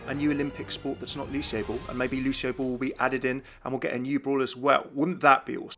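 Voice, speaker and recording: A man's voice: medium pitch, bright, forceful tone. A man giving chatty, upbeat video game news commentary, speculating aloud as he presents.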